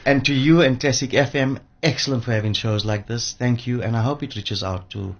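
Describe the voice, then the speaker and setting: A man speaking as an expert radio guest, emphatic and repeating phrases for effect, with a husky voice. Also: mid-range voice, brisk, a little hiss or hum. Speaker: interview guest, pensions industry expert. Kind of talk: radio interview. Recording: dull lo-fi radio audio.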